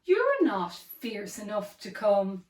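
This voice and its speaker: Storybook dinosaur girl, voiced by a woman: fierce, bossy, wide swoops, drawn-out calls, roomy sound. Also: medium pitch, huge pitch swings. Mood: jeering. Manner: yelling, jeering. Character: fierce, bossy young dinosaur sisters, shrill and jeering. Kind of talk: children's storybook character voice. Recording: roomy, echoey, distant microphone.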